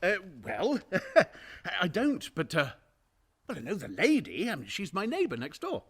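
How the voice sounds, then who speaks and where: An actor playing a nervous, guilty murderer in a radio play, stammering and repeating himself in an over-eager, ingratiating way. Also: male voice, sits high, huge pitch swings, measured pace, fairly bright tone, breathy edge, full character voice. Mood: nervous friendliness. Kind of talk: theatrical radio play acting.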